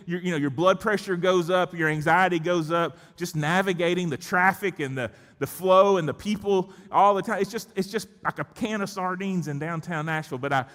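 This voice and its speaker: The recording rings with the long reverb of a large church. A man with a fairly high-pitched voice speaks as a pastor, conversational and emphatic as he addresses the congregation. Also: fairly bright tone. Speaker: pastor leading a worship service. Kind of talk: church address with personal anecdote, introducing a guest.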